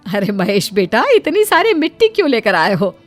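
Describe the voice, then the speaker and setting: A woman's voice: mid-range voice, sing-song, moderate pace, faint music behind. A woman voicing a homely, cautious elderly mother-in-law in a cartoon moral story. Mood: curious.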